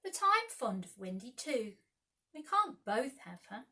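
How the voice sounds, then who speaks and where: A bold boy adventurer from a children's storybook, played by a woman reader. The voice is bright and forceful, urgent and decisive, like an impulsive young leader giving orders. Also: a little high, very animated, a little slow, recorded from a distance, full character voice. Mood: torn. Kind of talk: children's storybook read-aloud.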